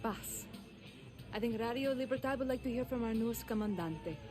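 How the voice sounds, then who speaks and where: A woman voices a swaggering guerrilla commander, a little high in pitch and full of brash confidence. Clear game score music plays behind her. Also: fairly quick, natural voice.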